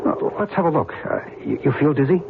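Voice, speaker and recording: An actor playing an earnest, insistent UFO believer in a radio drama, speaking in a formal, measured, probing way. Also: male voice, fairly high pitch, lively intonation, vocal fry. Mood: concerned. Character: earnest, insistent male believer in flying saucers. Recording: muffled, noisy old radio recording.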